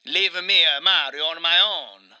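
A man's voice: a little high, measured pace, sharp tone, clean tone. A man voicing an aggrieved, self-pitying Italian video game plumber in broken English with a mock Italian accent, in an improvised comedy interview. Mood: mournful, self-pitying. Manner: broken English, whining indignation.

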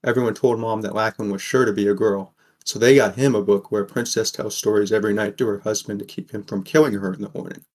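A man's voice: lowish voice, steady tone, fast talker, darkish tone, lightly acted. A man playing a wry, burdened teenage boy who narrates an audio drama in a plain, understated way. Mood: wry, reflective. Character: sixteen-year-old boy, wry, burdened, caring narrator. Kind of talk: first-person scripted narration, audio fiction.

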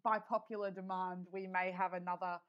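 A woman's voice: lowish voice, flat delivery, a little fast, highs cut off. A young woman hosting a casual podcast, chatty and upbeat with plenty of fillers. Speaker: podcast host.

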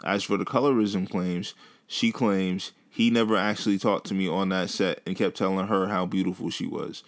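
A man co-hosting a casual gossip chat, calm, reading quotes aloud and teasing dryly. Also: low voice, moderate pace. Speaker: podcast co-host.

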